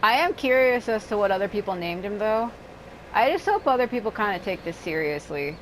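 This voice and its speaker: A woman's voice: medium pitch, expressive, brisk, slight background noise, rough recording. Chatty woman narrating her gameplay. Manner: chatty, rambling, joking asides. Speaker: gaming channel host. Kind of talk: casual let's-play commentary. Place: hot room at home.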